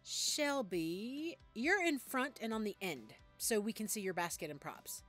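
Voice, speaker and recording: A woman acting in an audio roleplay as an upbeat friend who organizes everyone in a brisk, friendly way. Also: medium pitch, big pitch swings, fairly bright tone, soft background music. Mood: upbeat, organizing. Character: upbeat, organizing young woman judging party games.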